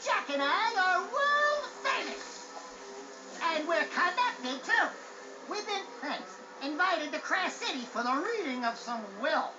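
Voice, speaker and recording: A man voicing a small, boastful, excitable male animal sidekick in a video game cutscene, telling things in a brash, theatrical way. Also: very high voice, takes it slowly, heavily acted. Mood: cocky. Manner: brash, bragging, theatrical storyteller. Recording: dull game audio under music.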